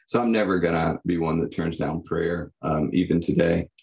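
A young man giving reflective, personal answers as a podcast guest, in a halting, thoughtful manner. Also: deep voice, gravelly, a little mellow. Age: young adult. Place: indoor room, on a video call. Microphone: condenser microphone.

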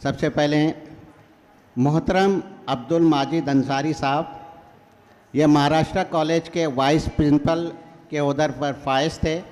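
A man's voice: on the high side, steady tone, a little mellow. An older man acting as emcee at a school celebration, introducing guests in a formal, courteous tone at a podium mic. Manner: measured, courteous, reads from notes. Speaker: event emcee. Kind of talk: formal Urdu stage announcing. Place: large hall, at a podium. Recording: reverberant hall.

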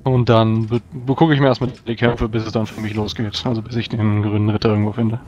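A man's voice: fairly low pitch, little pitch movement, fast, darkish tone. A man casually describing what his character does as a player in a tabletop role-playing game. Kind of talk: casual tabletop play, describing own actions. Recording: dull lo-fi voice chat, faint noise.

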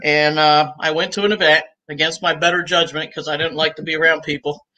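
A woman in her sixties, talking as a guest on a video call from home, chatty and easygoing and quick to laugh. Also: deep voice, steady tone, pushed and bright, low-quality recording. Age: 60s. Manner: talkative and easygoing, laughs often. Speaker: guest, cigar shop owner. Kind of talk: casual interview chat. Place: room at home.

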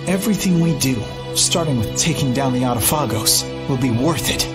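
A man voicing a noble young knight in a video game, steadfast, gentle and formal. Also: mid-range voice, animated, brisk, close to an everyday voice. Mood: resolute, gentle. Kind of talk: video game cutscene acting. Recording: loud music behind.

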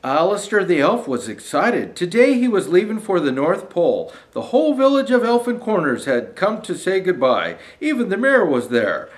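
An older man with a fairly high voice reads a storybook to children. His pitch moves around in an animated way. He has a folksy storyteller manner, pausing to talk straight to his young listeners. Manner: folksy, addressing young viewers directly. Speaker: storyteller in a Santa persona. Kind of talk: reading a children's storybook aloud. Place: small indoor decorated studio set.

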